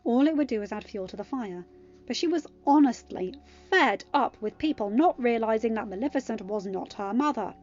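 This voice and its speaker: A woman's voice: fairly high pitch, expressive, normal speed, a little mellow, highs cut off, soft background music. A woman narrating a scripted piece of fiction as an audiobook reader.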